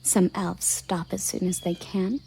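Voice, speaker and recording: A woman playing a proud, commanding elven guard captain in a scripted roleplay audio drama, soft and often half-whispered, with formal, deliberate phrasing. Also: lowish voice, level tone, creaky, slight background noise. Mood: curious, measured.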